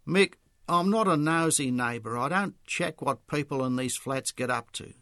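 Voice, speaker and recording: A man voicing a motherly older Australian woman, a sad and gentle neighbour in a story. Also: fairly high pitch, normal speed, no room sound, clean studio sound, lightly acted. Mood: sad, sympathetic. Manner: wistful, gentle, reluctant.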